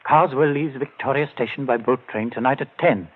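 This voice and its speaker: A man's voice: medium pitch, normal speed, close to an everyday voice. An actor playing a determined man set on vengeance, giving firm, urgent orders in a vintage radio drama. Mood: urgent, commanding. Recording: muffled, phone-like old radio recording.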